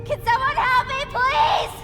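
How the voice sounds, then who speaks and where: A woman's voice: very high voice, fairly even intonation, a little slow, over music. An actress playing a trapped young woman in a video game cutscene, desperately calling out for help.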